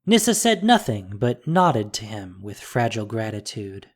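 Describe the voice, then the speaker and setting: A narrator with a measured, dramatic storytelling manner, reading a scripted audio drama. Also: medium pitch, big pitch swings. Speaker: podcast narrator and producer.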